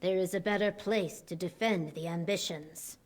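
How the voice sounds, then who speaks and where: An actress playing a grave, commanding military leader in a video game, speaking formally and with measured words. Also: female voice, on the low side, fairly even intonation, a little mellow, a little hiss or hum, lightly acted.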